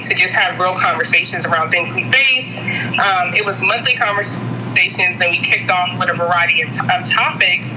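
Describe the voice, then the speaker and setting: A woman's voice: fairly low pitch, background noise. A woman in her thirties from Maryland with an American accent, chatting casually and earnestly as a podcast guest. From Maryland, United States. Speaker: podcast guest, nonprofit co-founder. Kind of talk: casual conversational interview.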